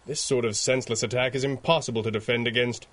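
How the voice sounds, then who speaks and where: An actor playing an earnest, formal masked hero in a 1930s-style radio adventure, speaking gravely and in measured phrases. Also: male voice, medium pitch, fairly even intonation, a bit bright, close to an everyday voice. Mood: courteous. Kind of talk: 1930s radio drama acting.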